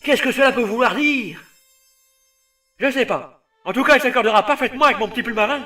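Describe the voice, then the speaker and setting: A man's voice: extremely high pitch, fairly quick, pushed and bright. A man voicing a whiny, effeminate young hero knight in a comic anime parody dub, fussy and melodramatic. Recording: slightly distant, faint music behind.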